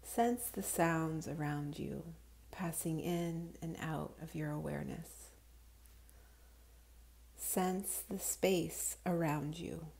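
A woman guiding a relaxation meditation in a calm, soothing, unhurried manner, her voice soft with breathy edges. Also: sits low. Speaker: yoga nidra guide.

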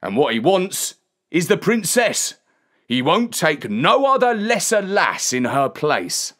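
A woman's voice: lowish voice, sing-song, slow, pitched above the performer's own voice, full character voice. A woman voicing a matter-of-fact rustic coachman in a storybook, resigned to his grim orders.

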